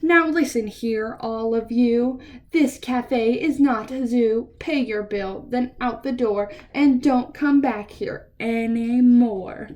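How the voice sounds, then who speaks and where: A woman's voice: fairly high pitch, slow pace, a little mellow. A woman voicing an exasperated waiter in a children's picture book, giving firm, scolding orders. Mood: stern.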